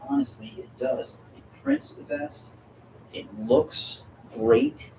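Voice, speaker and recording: Slow-paced man chatting casually. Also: very high-pitched, very animated, vocal fry, darkish tone, rough recording. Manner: informal, thinking aloud. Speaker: livestream host and product maker. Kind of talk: casual chat. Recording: faint background noise.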